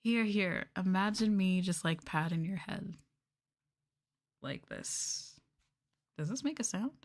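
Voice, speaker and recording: A woman's voice: medium pitch, slow pace. A woman streamer chatting casually on an ASMR livestream, playful, giggly and a bit hesitant, close to the mic. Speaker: VTuber streamer.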